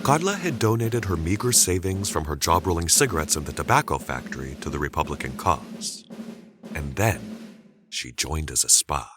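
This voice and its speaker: A man narrating a scripted dramatic story deliberately, with suspenseful pauses. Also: very deep voice, animated, over music.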